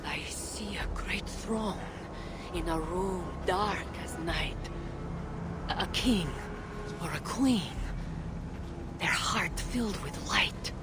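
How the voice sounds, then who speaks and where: An actress playing a solemn, mystical seeress in a video game, weary but firm, speaking in a measured, portentous way. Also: female voice, fairly low pitch, loud music behind the voice. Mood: entranced, ominous. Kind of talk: cinematic video game acting.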